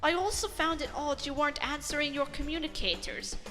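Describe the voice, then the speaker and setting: A woman's voice: high voice, in a large hall, soft background music, pitched above the performer's own voice. Composed English manservant, played by a woman, courteous and formal, for a dramatic reading. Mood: calm, formal. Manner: calm, formal, measured. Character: calm, courteous English male butler.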